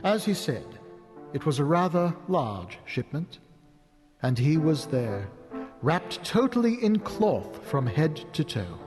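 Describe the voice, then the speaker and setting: A man narrating an audio drama as a measured, dramatic storyteller, reading from a script. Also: a little high, sing-song, a little mellow, soft background music.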